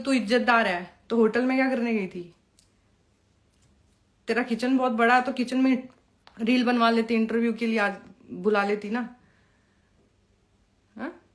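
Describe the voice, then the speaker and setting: A young woman in an informal live-stream monologue, confrontational and taunting, mixing in English phrases. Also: on the high side. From Bihar, India. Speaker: Bhojpuri performer addressing followers on a live stream.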